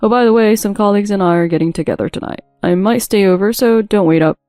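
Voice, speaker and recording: A self-centred husband from an audio story, played by a woman, slippery and dismissive. The tone is dark and soft-edged at a middling female pitch, recorded dry. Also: quick pace, clear, resonant tone, dry recording, faint music behind, natural voice. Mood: offhand, evasive. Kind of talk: scripted audio story dialogue.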